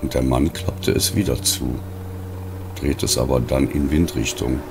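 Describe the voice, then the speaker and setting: Very deep, creaky man narrating. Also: steady tone, measured pace, noisy background. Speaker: audiobook narrator. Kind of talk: scripted narration of a diary story.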